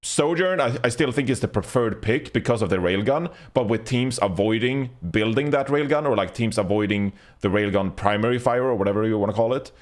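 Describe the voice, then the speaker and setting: A man's voice: medium pitch, brisk, few pauses. A man co-hosting an esports podcast, analysing the game in casual chat.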